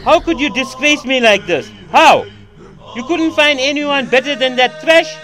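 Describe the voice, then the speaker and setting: A man playing an angry, strict, middle-aged father in an audio drama, shouting in furious outbursts. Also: very high-pitched, lively intonation, moderate pace, soft background music, full character voice.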